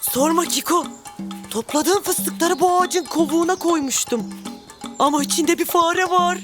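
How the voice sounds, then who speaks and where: A woman's voice: very high voice, unhurried. A woman voicing a timid, good-natured young elephant in a children's cartoon, with fretful, exaggerated delivery. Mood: frightened. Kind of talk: animated children's cartoon dialogue. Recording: music bed behind the voice.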